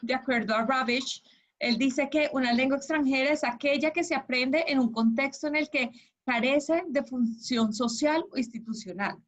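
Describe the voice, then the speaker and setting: A woman's voice: high voice, normal speed. A woman with a Colombian accent lecturing an online class as its teacher, encouraging and checking understanding with questions. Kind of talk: interactive lecture. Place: online video class with slides. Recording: dull, lo-fi online class audio.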